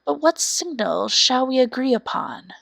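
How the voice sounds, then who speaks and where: A woman voicing a cunning fox general in a storybook, measured and self-satisfied. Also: sits high, big pitch swings, creaky, a bit bright, no room sound, pitched above the performer's own voice, exaggerated voice. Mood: calculating. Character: cunning male fox general with a bushy tail. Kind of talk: storybook character voice.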